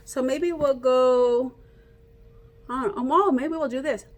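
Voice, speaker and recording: A woman reading a picture book aloud like a teacher, pausing to explain and ask young children questions. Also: high-pitched. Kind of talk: interactive children's read-aloud.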